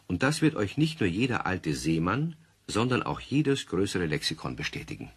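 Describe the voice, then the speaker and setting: A man's voice: low-pitched, sing-song, normal speed, husky. A man narrating a scripted children's story as the narrator of an audio play.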